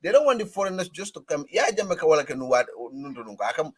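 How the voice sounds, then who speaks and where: A man's voice: on the high side, racing. A man from Gambia on a talk show panel, arguing his points animatedly. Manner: animated, argumentative, laughs loudly. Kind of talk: panel discussion, mixing English and a local language.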